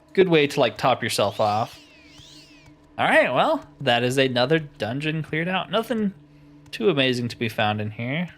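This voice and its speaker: A man giving casual let's-play commentary, musing aloud with wry asides as he plays. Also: mid-range voice. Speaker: gamer narrating his playthrough.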